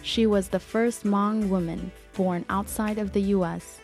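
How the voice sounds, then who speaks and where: A woman's voice: medium pitch, fairly even intonation, measured pace, gravelly, darkish tone. A woman from Ethiopia reading scripted narration as the host of a podcast. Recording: music under the voice. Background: background music.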